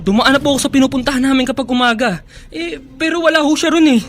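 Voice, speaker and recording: A man's voice: very high-pitched, fast, a bit bright. An actor playing a polite, earnest young man in a radio drama, a fond and sorrowful grandson. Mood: alarmed. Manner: respectful, earnest.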